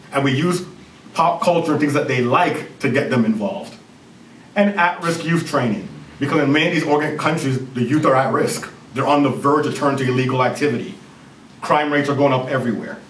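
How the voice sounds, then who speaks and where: Energetic Jamaican-accented man in a hall. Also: medium pitch, a little hiss or hum. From Canada. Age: 30s. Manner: energetic, jokes with audience. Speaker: company CEO presenting. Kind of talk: conference presentation. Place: university lecture hall. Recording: roomy hall recording from far off.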